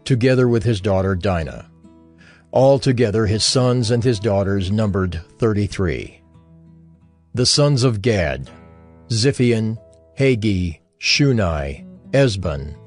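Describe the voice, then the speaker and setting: Male scripture narrator, lowish pitch, animated intonation, deliberate, solemn, music underneath. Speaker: Bible narrator. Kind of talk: dramatized scripture reading.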